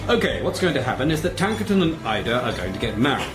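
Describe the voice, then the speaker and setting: A man's voice: medium pitch, big pitch swings, fast, natural voice. An actor playing a world-weary, dryly cryptic best man in a radio drama. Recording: echoey room with music behind.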